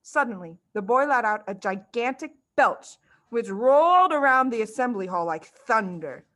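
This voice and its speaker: Higher-pitched woman reading a storybook. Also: lively intonation, normal speed, fairly bright tone. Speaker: storybook reader. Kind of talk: expressive scripted narration.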